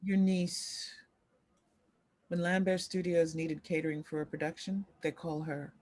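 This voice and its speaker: Despondent former child star acted by a woman, lower register, bitter and resigned. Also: fairly even intonation, a little slow, a little mellow. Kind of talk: dramatic table read.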